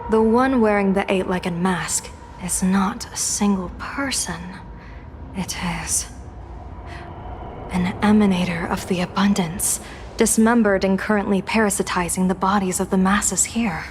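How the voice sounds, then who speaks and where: A woman plays a concerned female leader in a game drama. Her mid-range voice is grave and measured, with faint noise behind it. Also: mid-range voice, steady tone, close to an everyday voice. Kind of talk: dramatic video game voice acting.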